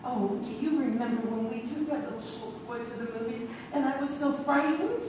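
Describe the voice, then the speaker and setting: An actress playing a mild, fretful elderly maiden aunt in a stage comedy. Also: female voice, sits high, fairly quick, close to an everyday voice. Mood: alarmed. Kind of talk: theatrical stage comedy acting. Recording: very echoey noisy theater, distant.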